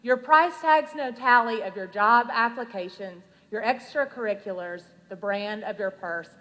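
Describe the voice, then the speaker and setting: Female spoken-word poet, higher-pitched, dramatic and rhythmic, heard in a big echoing hall. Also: pushed and bright. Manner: emphatic, dramatic delivery with drawn-out phrases. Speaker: reverend performing slam poetry. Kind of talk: rhythmic slam poetry recitation. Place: large hall at a youth conference. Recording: reverberant large hall.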